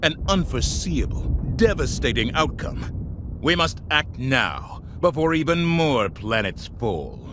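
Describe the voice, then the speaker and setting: An actor playing a grave, zealous military officer in a video game, speaking in a solemn, formal, dramatic way. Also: male voice, very animated, a little slow. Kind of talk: scripted video game voice acting. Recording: rumbling ship engine noise.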